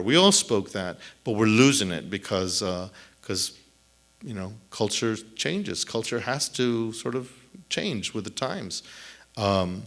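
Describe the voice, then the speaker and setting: A middle-aged man, a native Spanish speaker, answering audience questions on a theater stage at a lectern mic, thoughtful and halting with many restarts. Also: fairly low pitch, fairly bright tone. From El Paso, Texas. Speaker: author at a book event. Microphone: lectern mic on a stand.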